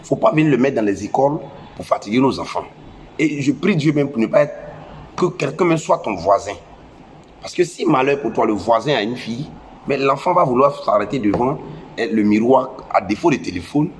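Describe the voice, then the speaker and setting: A young man speaking French with a West African accent and a head cold delivers a preachy, scolding lecture to parents. He hammers his points by repeating phrases, at an ordinary male pitch. A faint hiss of noise sits under the voice. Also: lively intonation, a little fast. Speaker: social media commentator. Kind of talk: impassioned moralizing monologue.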